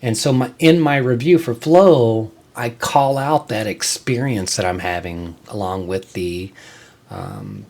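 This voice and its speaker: A man hosting his own channel, talking casually to viewers in an informal, explanatory and somewhat halting way. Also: fairly low pitch, sing-song, gravelly, darkish tone. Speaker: host of a channel on music reviews and tabletop games.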